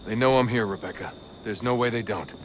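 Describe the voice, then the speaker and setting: A man acts a brooding young hero in a video game, low in voice and weary but defiant. He sounds set back from the mic, under loud ambient noise. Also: a little fast, recorded from a distance, lightly acted. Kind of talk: video game acting, introspective monologue and dialogue.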